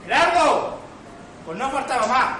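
A man's voice: very high voice, sing-song, a little slow, bright, forceful tone, full character voice. A man in an amateur stage farce playing an indignant, blustering father with a declamatory delivery, recorded in an echoey hall. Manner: declamatory, theatrical. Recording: echoey hall from far off, noisy audience.

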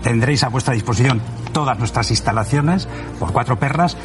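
A man from the radio theatre world speaks conversationally with a Spain Spanish accent. His mid-pitched voice sits over clearly audible music. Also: brisk. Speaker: radio theatre maker being interviewed. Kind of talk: conversational explanation.

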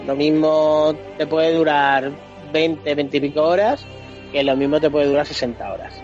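Male podcast co-host, higher pitch, Spain accent, colloquial and foul-mouthed, dull sound. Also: fairly even intonation, moderate pace. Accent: Spanish (Spain). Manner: sweary, colloquial. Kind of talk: casual video game chat. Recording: muffled lo-fi podcast, music underneath.

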